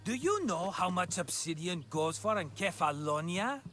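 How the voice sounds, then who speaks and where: An actor playing a flamboyant, scheming middle-aged merchant, a cheerful smooth-talker with a theatrical, wheedling manner in a video game cutscene. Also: male voice, sits high, lively intonation, normal speed, fairly bright tone, soft background music. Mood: excited, scheming.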